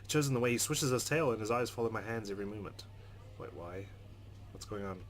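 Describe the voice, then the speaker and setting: A man doing a let's play, reading a game's narration aloud in an expressive, measured, dramatic way. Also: fairly low pitch, slight background noise. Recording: game music under the voice.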